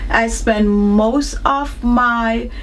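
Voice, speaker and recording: High-voiced Jamaican woman vlogging. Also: drawn-out words. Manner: chatty and animated, laughs often. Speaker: beauty vlogger. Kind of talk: casual vlog chat.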